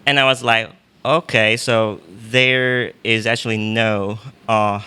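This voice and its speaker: A man's voice: fairly low pitch, little pitch movement, slow pace, bright, forceful tone, clean tone. A man from Taiwan, a professor guest on a casual podcast, talking with many fillers and restarts.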